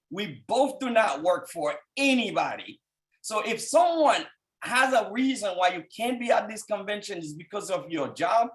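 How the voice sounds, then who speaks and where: A man in his thirties from Haiti with a thick Haitian accent, giving a fervent motivational talk to people on a call. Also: sits high. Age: 30s. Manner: fervent, rising to shouts at peaks. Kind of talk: motivational speech.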